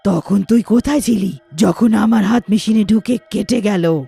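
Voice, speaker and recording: A woman voicing a vengeful young witch ghost with loud, dramatic threats and wails for a moral horror story. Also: medium pitch, normal speed, gravelly, breathy edge, soft background music. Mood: furious, threatening.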